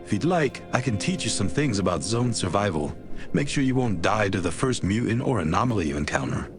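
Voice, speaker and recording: Seasoned friendly deputy, folksy survival trainer, low voice, game dialogue over loud music. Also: male voice, sing-song, brisk. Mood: helpful, instructive. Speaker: tutorial guide. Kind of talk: video game character dialogue.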